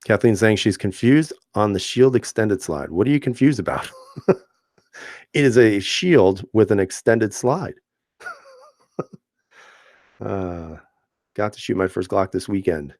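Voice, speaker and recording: Man, deep voice, casual live-stream host, relaxed chatty delivery, dry close-miked sound. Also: unhurried, pauses often, no echo. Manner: relaxed, chatty, laughs often, many fillers and restarts. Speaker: channel host reading live chat.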